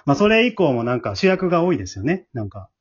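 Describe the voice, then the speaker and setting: A man's voice: fairly high pitch, very animated, fast, breathy edge, muffled recording. A man with a Kansai accent, hosting as leader of a theatre troupe in a casual interview chat.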